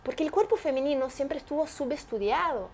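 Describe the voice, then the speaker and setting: A woman's voice: high-pitched, lively intonation, brisk, a little mellow, a little hiss or hum. A woman, speaking as an interview guest. She explains her points emphatically and often poses rhetorical questions. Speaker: guest, entrepreneur. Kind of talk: animated interview conversation.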